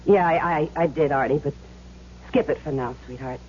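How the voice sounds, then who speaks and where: An actress playing a gangster's worried, wary sweetheart in an old radio drama, on a muffled recording with some noise. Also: female voice, rumbling low, a little fast, warm tone. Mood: nervous, coaxing.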